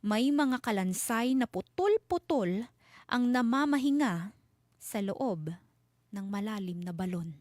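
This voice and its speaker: A woman storyteller giving a dramatic scripted horror narration with suspenseful, deliberate phrasing. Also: a little high, expressive, a little mellow, dry recording, studio quality.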